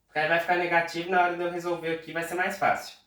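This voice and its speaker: A man's voice: a little high, level tone, fairly bright tone, roomy sound, recorded from a distance. A man with a Brazilian Portuguese accent, nasal and stuffed up from a cold, patiently working an exam math problem step by step aloud. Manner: patient, talks through arithmetic aloud slowly. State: congested from a cold. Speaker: math tutor.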